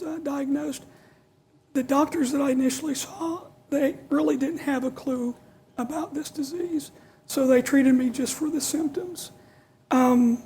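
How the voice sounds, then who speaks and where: A middle-aged woman answering as a patient on a panel, halting and earnest, repeating words as she searches for them. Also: high-pitched, dark tone, low-quality recording.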